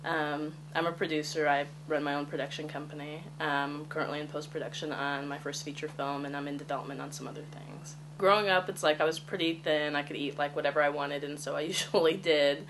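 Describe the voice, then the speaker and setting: Woman, twenties, deep low voice, candid casual delivery, faint noise behind. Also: fairly even intonation, fast, hardly any pauses. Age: 20s. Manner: candid, conversational, frequent ums. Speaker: casting applicant. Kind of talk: casting tape self-introduction.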